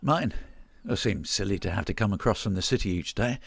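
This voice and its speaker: An actor playing an evasive, defensive man in an audio drama, dry and clipped, with a husky voice. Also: fairly low pitch, brisk, slight background noise. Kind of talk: naturalistic audio drama acting.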